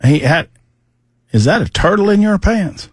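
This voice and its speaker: A man's voice: sits high, animated, breathy edge, dry recording. Dry-voiced joking male radio co-host. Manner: joking, quick banter. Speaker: radio talk show co-host. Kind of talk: casual chat.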